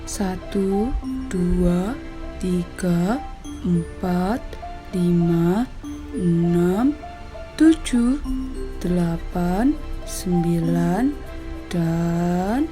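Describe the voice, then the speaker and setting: A woman voicing a gentle, pitying mother hen in a children's story, speaking in a slow, soothing, deliberate way. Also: on the low side, music in the background, voice pushed down. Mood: careful counting.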